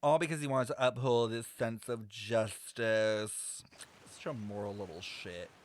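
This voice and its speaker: A man's voice: lowish voice, fairly even intonation, unhurried, no room sound. A man streaming a playful, slangy live reaction to a game's story. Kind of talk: casual live reaction commentary.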